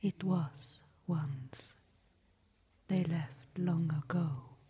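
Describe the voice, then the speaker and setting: A woman voicing an aloof ruler of a deep underground people, speaking in a formal, archaic whisper. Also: very slow, dark tone, husky, exaggerated voice. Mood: solemn.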